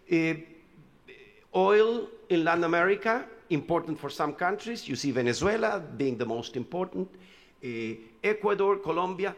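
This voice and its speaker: A man from Chile talks through a conference panel presentation in a loose, conversational way, stopping often for fillers and restarts. His voice sits in a middle register. It carries a long reverb from a large hall. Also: mid-range voice. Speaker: panel speaker, economist. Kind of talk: conference panel talk with slides.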